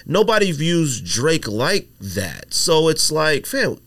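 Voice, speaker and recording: A man co-hosting a podcast in casual chat, animated, acting out what others say. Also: on the high side, slow pace, dry recording. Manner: animated storyteller, acts out others in quoted voices. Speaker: podcast co-host.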